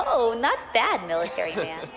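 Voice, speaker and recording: An actress playing a brash young space pirate, quick, sarcastic and observant. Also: female voice, medium pitch, big pitch swings, a crowd in the background, noisy background. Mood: cocky. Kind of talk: audio drama acting.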